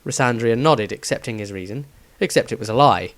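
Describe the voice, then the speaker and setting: A man narrating a fantasy story aloud in an expressive storytelling manner. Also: medium pitch, a little fast, no echo.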